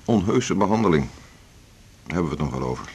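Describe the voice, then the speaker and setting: A businesslike male doctor in a radio play. His voice is fairly low, with some noise on the recording. He is dry and matter-of-fact with a colleague. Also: lively intonation, quick pace, darkish tone. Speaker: actor in a radio drama. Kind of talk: 1980s naturalistic Dutch radio drama acting.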